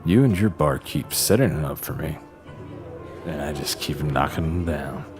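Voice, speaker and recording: An actor playing a hard-drinking, lustful barfly in a noir audio drama, with a slow, breathy, brooding delivery. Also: male voice, a bass voice. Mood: cocky. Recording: music bed behind the voice.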